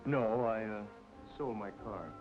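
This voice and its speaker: A man's voice: lowish voice, measured pace, lightly acted. An actor playing a proud, guarded middle-aged man down on his luck, talking tersely in a film noir drama. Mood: defiant. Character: tough, evasive, down-on-his-luck father with stubborn pride. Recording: dull vintage film soundtrack with music.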